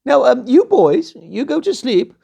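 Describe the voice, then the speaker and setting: A storyteller voicing a bossy, fussy old queen in a bedtime tale, with a high, loud, fluttering delivery. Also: very animated, a little slow, creaky, slightly rough, lo-fi sound, exaggerated voice. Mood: fussing, proud.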